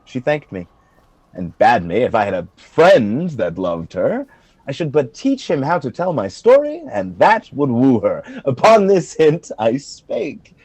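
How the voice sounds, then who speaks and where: A man playing a proud, eloquent general in love in Shakespearean verse, telling his story in a measured, dramatic way. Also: mid-range voice, huge pitch swings, a little mellow, breathy edge, dry recording, exaggerated voice. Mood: tender, reflective. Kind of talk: Shakespearean verse acting in a radio play.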